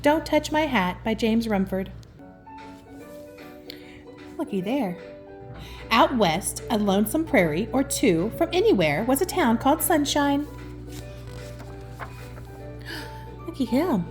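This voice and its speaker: A woman's voice: fairly high pitch, big pitch swings, slow pace, pauses often. A woman reads a picture book aloud expressively, with affectionate, chatty asides to the listener. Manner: affectionate, addresses the listener as sweetheart. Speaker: children's storybook reader and host. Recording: music behind the voice. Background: music.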